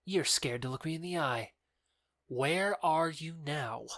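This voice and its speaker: A man's voice: on the high side, measured pace, a bit bright, no echo, studio quality. A man playing a belligerent young lover challenging his rival, in theatrical, indignant audio drama style. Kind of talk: audio drama acting of modernized Shakespeare.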